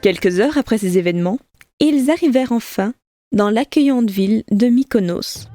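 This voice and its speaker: A woman's voice: a little high, expressive, normal speed, warm tone, faint music behind, close to an everyday voice. A woman playing a wry, teasing storyteller, narrating a scripted audio comedy adventure theatrically.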